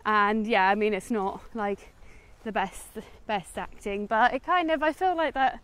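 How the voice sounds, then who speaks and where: A woman's voice: medium pitch, unhurried, a bit bright. A woman with an English accent chatting casually about a film while out walking, in a hesitant, hedging way. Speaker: film review vlogger. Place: outdoors, walking in woods.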